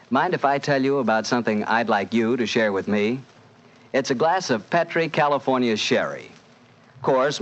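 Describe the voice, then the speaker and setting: An American man works as a 1940s radio announcer. His voice is medium in pitch, and he pitches to the listener in a folksy, chatty way. The recording is dull and old. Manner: folksy, conversational salesmanship.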